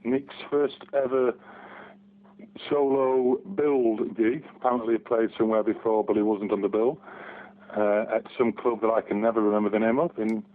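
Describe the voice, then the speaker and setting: A man's voice: lowish voice, fairly even intonation, moderate pace. A man, talking hesitantly as a guest in a casual phone interview. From Barnsley, England. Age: 40s. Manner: hesitant, many restarts and ums. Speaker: guest fan. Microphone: telephone. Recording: tinny phone line.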